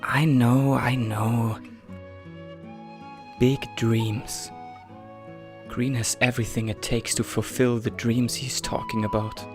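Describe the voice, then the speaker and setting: A man's voice: mid-range voice, little pitch movement, takes it slowly, long pauses, music in the background, close to an everyday voice. A man voicing a timid, self-doubting boy trainer in a manga dub, hesitant and introspective. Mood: reluctant. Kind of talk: manga dub narration and dialogue.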